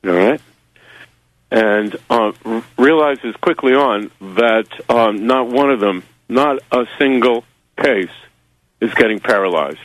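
A man who publishes a magazine, guesting on a radio interview and rambling through halting answers full of fillers and wry asides. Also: medium pitch, a bit bright, no room sound, low-quality recording. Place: New Zealand.

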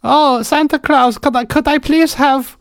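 Comic radio skit: little child played by a grown man, high-pitched, halting, begging sweetly and slowly. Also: steady tone, no room sound, clean studio sound. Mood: pleading. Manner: halting, pleading, drawn-out. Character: small pleading child asking Santa for a toy.

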